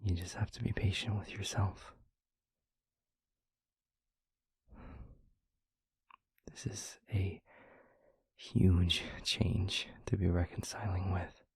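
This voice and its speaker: A man acts out a protective vampire boyfriend in an intimate audio roleplay. His voice is low and very breathy, with gravelly creak at the edges. He speaks softly and reassuringly, leaving long, hesitant pauses. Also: level tone, no echo, heavily acted. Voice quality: breathy, husky, half-whispered. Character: gentle, protective young male vampire maker and boyfriend.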